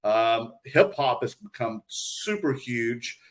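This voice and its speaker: A man's voice: medium pitch, lively intonation, takes it slowly. Male podcast host, everyday mid-range voice, informal hobbyist chat. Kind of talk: casual collector podcast chat.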